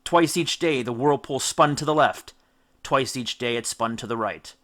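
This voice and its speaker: A man reading an epic story aloud as an audiobook, with theatrical, emphatic delivery and deliberate dramatic stresses. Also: mid-range voice, fairly bright tone. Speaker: audiobook narrator. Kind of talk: dramatic scripted storybook narration.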